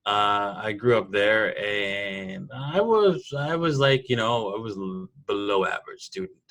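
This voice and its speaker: A man with a fairly low voice talks as a podcast guest. He tells stories about himself in a casual, animated style, chatting loosely and informally. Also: measured pace. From Toronto, Canada. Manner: animated storyteller, laughs often. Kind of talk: casual interview chat, personal anecdotes.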